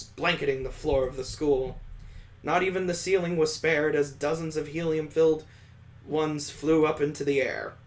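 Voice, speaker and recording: A man's voice: a little high, darkish tone. A man hosting a let's play, reading a visual novel aloud and dropping in wry asides.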